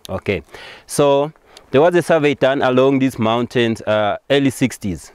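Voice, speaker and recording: A man's voice: mid-range voice, expressive, normal speed, clean tone, no room sound. A man from Eswatini, a native Siswati speaker with a Swazi accent, explains things as a local tour guide, repeating and rephrasing simply.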